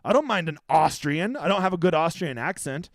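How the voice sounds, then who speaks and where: A man's voice: a little high, lively intonation, brisk, vocal fry, no room sound, studio quality. A man in his thirties hosting a livestream, chatting casually with viewers in a profane, brash, rambling way. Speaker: livestream host. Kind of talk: casual livestream chat answering viewer questions.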